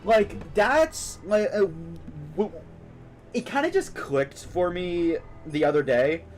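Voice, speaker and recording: A man's voice: high-pitched, sing-song, takes it slowly, soft background music. A man giving casual, opinionated, rambling commentary on a live stream while he plays a video game.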